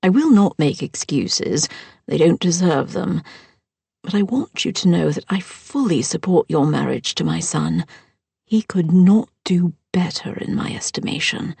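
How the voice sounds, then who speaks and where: A woman voicing a gracious older mother-in-law who speaks formally and softly, at times near a whisper. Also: on the low side, animated, measured pace, vocal fry, husky, dry recording, full character voice. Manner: formal and soft-spoken.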